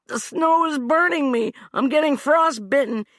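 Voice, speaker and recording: A woman's voice: sits high, moderate pace, a bit bright, dry recording, studio quality, pitched above the performer's own voice. Woman voicing a whining cowardly man. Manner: whining, pleading, yelping.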